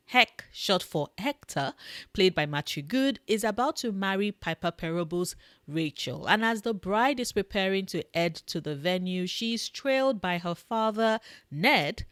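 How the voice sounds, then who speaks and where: A young woman reviewing a film on her channel, telling the plot with expressive, sarcastic asides and a loud, booming voice. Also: no echo, clean studio sound. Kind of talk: scripted film recap.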